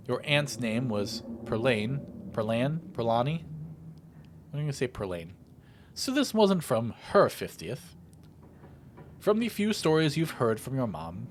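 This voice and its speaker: Guy doing a lets play, reads the game text out loud real expressive, pitch all over, some noise behind him. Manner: expressive, theatrical read-aloud delivery. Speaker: let's play host. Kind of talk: game playthrough, reading narration aloud with commentary.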